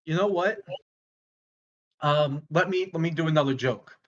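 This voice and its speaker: A man playing a volatile, failing stand-up comedian in a screenplay read, halting, stammering and exasperated. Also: fairly high pitch, lo-fi sound, lightly acted. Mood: nervous, flustered. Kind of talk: dramatic screenplay table read, stand-up monologue.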